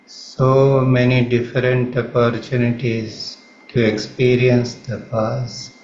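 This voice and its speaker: A man with an Indian accent leads a guided meditation in a calm, measured manner, leaving long pauses between phrases. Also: medium pitch. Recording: roomy, slightly muffled, faint background noise.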